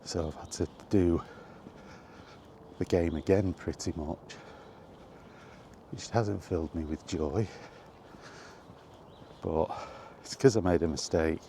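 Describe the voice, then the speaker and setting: A man's voice: sits low, mellow, slight background noise. A man chatting casually in a rambling, hesitant vlog style, thinking aloud as he goes. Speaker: gaming content creator and podcaster.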